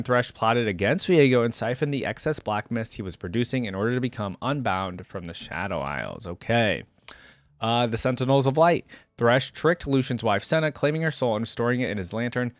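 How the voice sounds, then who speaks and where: A man's voice: mid-range voice, dry recording. Man reading and commenting casually, dry close sound. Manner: casual, thinking aloud. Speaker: reaction video host.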